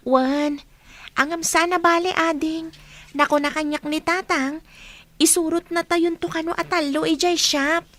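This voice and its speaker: A woman's voice: very high voice, steady tone, measured pace, a bit bright. A woman playing a dutiful, caring schoolgirl, the eldest daughter, in a radio drama. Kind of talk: Ilocano radio drama acting.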